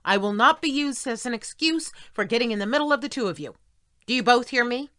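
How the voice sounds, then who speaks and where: An exasperated middle-aged mother in an audio drama. Her voice is bright and forceful, recorded very dry, as she firmly scolds and fusses to keep the peace. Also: on the high side, expressive, brisk, dry recording. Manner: scolding, firm, coaxing. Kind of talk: audio play acting.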